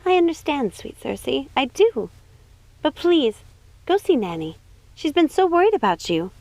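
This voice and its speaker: A woman voicing a gentle, sweet young woman in an audiobook, speaking in an earnest, reassuring manner. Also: high voice, big pitch swings, unhurried, no room sound, pitched above the performer's own voice, heavily acted, rough recording. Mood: soothing, reassuring. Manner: soft-hearted, earnest, reassuring. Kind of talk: audiobook character voice.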